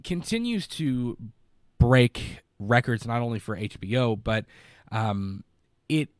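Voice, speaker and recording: A man's voice: mid-range voice, expressive, takes it slowly, dry recording, clean studio sound. A young man with a stuffed-up, congested voice hosting a casual movie podcast from his basement, rambling and repeating words. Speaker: podcast host. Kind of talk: casual live podcast review.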